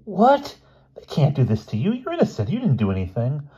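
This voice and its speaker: A man's voice: a little high, wildly swooping pitch, brisk, mellow, breathy edge, muffled recording, pitched above the performer's own voice, full character voice. A man voicing a brash, unrestrained teenage schoolboy who blurts dramatically in a children's audiobook. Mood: outraged disbelief. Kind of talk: children's novel audiobook character voice.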